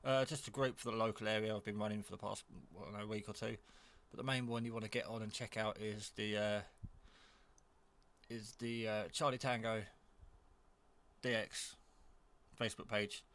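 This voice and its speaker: A man giving a casual, off-the-cuff product demo, rambling in a low-key way as he thinks aloud. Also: flat delivery, normal speed, fairly bright tone. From England. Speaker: hobbyist reviewer.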